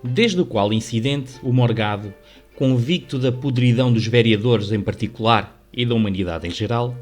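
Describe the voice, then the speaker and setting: A man with a European Portuguese accent giving formal, measured, scripted literary narration for a radio drama. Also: medium pitch. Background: music under the voice.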